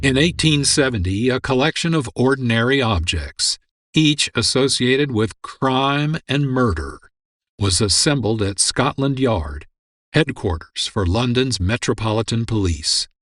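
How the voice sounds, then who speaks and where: A man with a mid-range voice hosts a radio program, reading scripted documentary narration at a measured delivery. The sound is clean of any room echo. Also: mid-range voice, expressive, a bit bright, studio quality. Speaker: producer and host.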